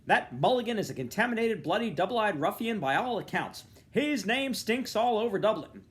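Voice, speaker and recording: A man acts out an irate middle-aged father from a novel, loud and raised in pitch. He snarls his sarcastic invective theatrically, with bitter force and big swings of intonation. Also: sing-song, normal speed. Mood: furious ranting.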